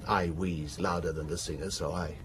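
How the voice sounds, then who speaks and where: A deep-voiced, wealthy man played by a male actor makes a confession in an audio drama, grave and anguished. He speaks in halting, clipped phrases, as someone deeply wronged. Noisy ambience runs under his voice. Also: fairly even intonation, natural voice. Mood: haunted, confessional. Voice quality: wheezy. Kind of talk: radio drama acting, confessional monologue.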